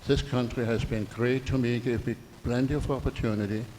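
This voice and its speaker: A man's voice: medium pitch, fairly even intonation, brisk, a little mellow. Older man, German accent, native German, earnest, long hall reverb, faint noise. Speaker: audience member. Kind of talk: personal remarks from the floor. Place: public meeting hall.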